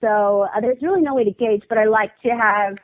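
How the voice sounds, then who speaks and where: A woman guest on a comedy interview, chatting casually and halting often with fillers and restarts. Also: fairly high pitch, no echo. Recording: dry, phone-like narrow sound.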